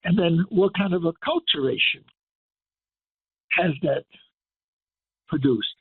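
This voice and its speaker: Husky older man lecturing over phone. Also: a little high, animated, slow, dry recording. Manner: emphatic, rising to forceful declarations. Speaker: radio guest lecturer. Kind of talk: impassioned radio lecture. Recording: tinny phone line.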